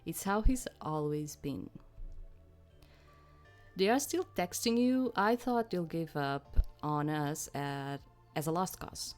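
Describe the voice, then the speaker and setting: Lower-voiced woman playing and narrating a game. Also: lively intonation, takes it slowly, soft background music. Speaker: let's play host. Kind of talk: reading game narration aloud with casual commentary.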